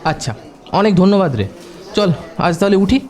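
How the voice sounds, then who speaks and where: An actor playing a thoughtful, decisive private detective in an audio drama, speaking tersely and deliberately. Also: male voice, sits high, big pitch swings, darkish tone. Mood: casual. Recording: music under the voice.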